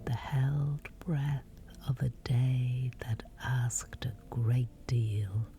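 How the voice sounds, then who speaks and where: A woman guiding a sleep meditation in a breathy, whispery voice, soothing and measured with long pauses. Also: rumbling low, fairly even intonation, warm tone, slight background noise. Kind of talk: guided sleep meditation, scripted.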